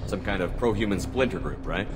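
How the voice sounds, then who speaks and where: An actor playing a wary, resolute military commander in a video game, terse and firm. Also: male voice, low-pitched, a little fast, background noise, natural voice.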